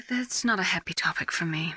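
A woman playing a reserved, caring medical scientist in a video game, soft-spoken and measured. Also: on the low side, slightly rough, no room sound, heavily acted. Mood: hesitant. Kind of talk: dramatic video game acting.

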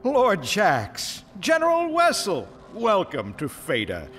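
Fawning colonel, wildly swooping voice. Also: male voice, sits high, huge pitch swings, slow pace, a bit bright, slight background noise, exaggerated voice. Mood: fawning, nervous. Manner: fawning, stammering. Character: obsequious, nervous male Imperial colonel, fawning underling. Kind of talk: science-fiction audio drama acting.

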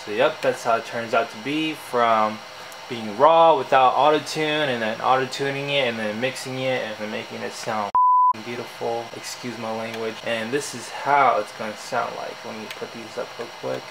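A young man with a bassy voice explains his home music setup in a loose, slangy, self-deprecating way. He is recorded on a desk camera mic in a small room, and a steady air-conditioner hum sits behind him. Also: normal speed, gravelly. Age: young adult. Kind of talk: casual screen tutorial.

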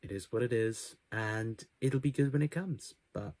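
A man's voice: medium pitch, normal speed, a little mellow, dry recording. A man in his twenties giving a casual channel update to camera, with hesitant, self-deprecating asides. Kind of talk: casual vlog talk to camera. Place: small cluttered bedroom, indoors.

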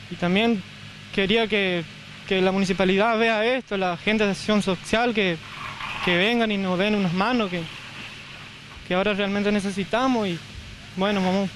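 A young man with a notably high voice talks haltingly into a handheld reporter mic, stopping and repeating words. He is outdoors, and clear background noise sits under his voice in the field recording. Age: young adult. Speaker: homeowner interviewed after fire. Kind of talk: on-the-spot news interview. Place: outdoors at a burned house site.

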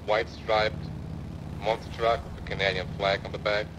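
Deep-voiced man calling in over blaring sirens. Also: little pitch movement, background noise. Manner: halting, procedural. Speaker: role-player as a police motorcycle officer. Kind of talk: police radio calls in online game role-play. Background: police sirens, engine ambience.

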